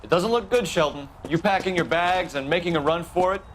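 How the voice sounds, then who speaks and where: An actor playing a stern, righteous American police detective in a 1940s crime video game, with firm, clipped, accusatory delivery. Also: male voice, high voice, fairly quick, slight background noise, natural voice, rough recording. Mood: stern, accusing.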